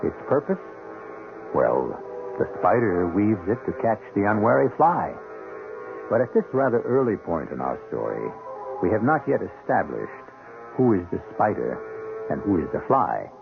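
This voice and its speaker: A man playing a wry, ominous storyteller hosting a radio mystery, with a theatrical, deliberate, sardonic delivery. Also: fairly low pitch, wildly swooping pitch, gravelly, full character voice. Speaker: radio drama host and narrator. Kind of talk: 1970s radio drama narration. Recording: tinny vintage radio recording, background music.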